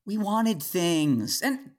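A woman's voice: fairly low pitch, big pitch swings, extremely slow, darkish tone, full character voice. A woman playing a possessed, sneering, falsely sweet father who sold out to demons, in a horror-comedy audio drama. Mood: gleefully smug. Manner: sneering, saccharine, theatrical.

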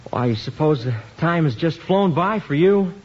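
A bashful young husband, muffled old radio. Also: male voice, a little high, lively intonation, normal speed, darkish tone, breathy edge. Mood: tender. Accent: American. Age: young adult. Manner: hesitant, awkward, earnest. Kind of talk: 1950s radio comedy acting. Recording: noisy, muffled 1950s radio recording.

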